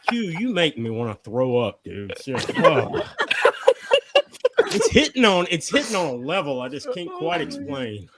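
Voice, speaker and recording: A man's voice: on the high side, wildly swooping pitch, normal speed, crowd noise. A man taking part as a guest in a live script read, joking in casual chat. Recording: clear background noise on mic.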